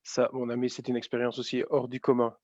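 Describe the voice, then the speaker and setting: A man's voice: medium pitch, completely flat delivery, fairly quick, darkish tone, no room sound. A man hosting a casual interview, friendly and stumbling over his words. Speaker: interviewer host. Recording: dull lo-fi dry sound.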